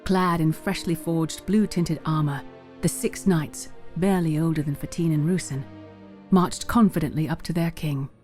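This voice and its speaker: A woman's voice: deep voice, steady tone, normal speed, dark tone. A woman narrating a fantasy story in a scripted, dramatic storytelling style. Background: faint music.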